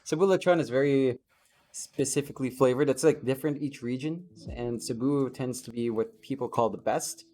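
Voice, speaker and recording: A man's voice: mid-range voice, normal speed, dark tone. A man from the Philippines hosting a casual podcast, easygoing as he reads chat messages and answers them. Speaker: podcast host.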